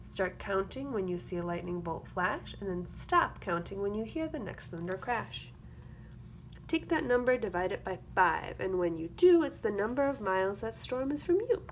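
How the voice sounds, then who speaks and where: A woman reading a children's picture book aloud as a teacher, with expressive, rhythmic delivery. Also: mid-range voice, moderate pace. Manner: rhythmic, expressive delivery of rhyming verse with explanatory asides. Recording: clear background noise.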